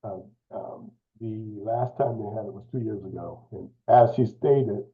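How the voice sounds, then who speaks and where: Staff man by phone, low husky voice, tinny line, halting. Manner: halting, many fillers. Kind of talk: meeting remarks.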